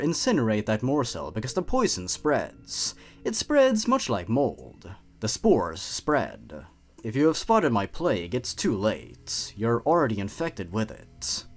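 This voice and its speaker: A man's voice: a little high, voice pushed up, exaggerated voice. Man doing a sly, taunting poisoner creature, raised and sing-song. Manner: teasing, mock-innocent, taunting the listener. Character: sly unseen poisoner lurking in food, gleeful and cruel.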